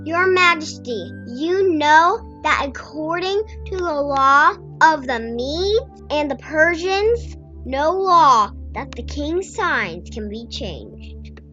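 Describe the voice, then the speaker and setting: A performer voicing a scheming royal official in a dramatised Bible reading, declaiming flattery in drawn-out phrases over muffled audio. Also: high voice, full character voice. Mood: accusing, insistent. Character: scheming royal officials addressing the king, high shrill voices. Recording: muffled audio with music behind.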